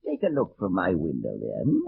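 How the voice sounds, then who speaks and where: A man's voice: low voice, wildly swooping pitch, normal speed, creaky, exaggerated voice. An actor playing a gleeful, menacing old French nobleman with theatrical mock courtesy in an old radio drama. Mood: gloating. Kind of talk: 1940s-50s radio drama acting. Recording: muffled, noisy old radio recording.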